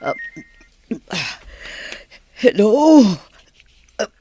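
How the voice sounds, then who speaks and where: A woman playing an ailing, weak woman who speaks haltingly in pain in a radio drama. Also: mid-range voice, sing-song, warm tone, faint music behind. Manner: halting, groaning delivery.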